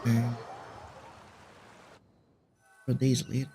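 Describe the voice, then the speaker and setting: Streamer, male: casual game reactions, mellow and creaky tone, faint music behind. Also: medium pitch, completely flat delivery, a little fast. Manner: laid-back, slangy. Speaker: live streamer.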